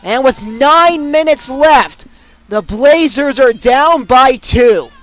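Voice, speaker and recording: Young adult male sportscaster, very high-pitched, excitable, clipped delivery for live game commentary. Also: takes it slowly. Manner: excitable, punchy delivery in short clipped phrases. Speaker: play-by-play sportscaster. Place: at sports venues, live during games.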